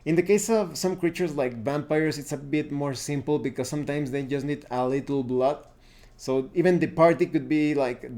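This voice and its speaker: A man's voice: medium pitch, warm tone. A man giving an unscripted explanation to viewers as a tabletop gaming host, thinking aloud and often restarting his phrases.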